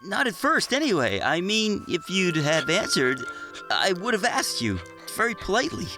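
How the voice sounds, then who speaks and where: A man's voice: high-pitched, very animated, moderate pace, music in the background, rough recording. A man voicing a plucky, nervous teenage boy in a motion comic, slangy, exclamatory and prone to stammering. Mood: sheepish, hesitant. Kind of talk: animated character acting for a motion comic.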